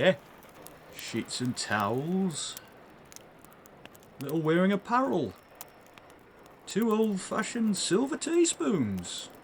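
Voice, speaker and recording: A grasping old rag-and-bone dealer in an audio drama. The voice sits high and swings widely as he wheedles and haggles. There is some background noise. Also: full character voice.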